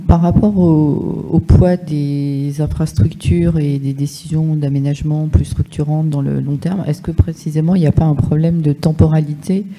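A speaker at a public discussion talking off the cuff, with measured, somewhat hesitant and careful phrasing. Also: medium pitch, mellow, low-quality recording. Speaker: discussion participant putting a question. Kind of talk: spontaneous question at a public discussion.

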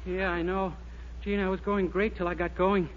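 An actor as a very high-voiced, naive young clerk. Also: male voice, flat delivery, normal speed, lightly acted. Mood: hesitant, naive. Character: naive, eager, underpaid young male store clerk. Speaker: comic actor. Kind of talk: 1940s radio sitcom acting. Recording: muffled, very noisy 1940s radio recording.

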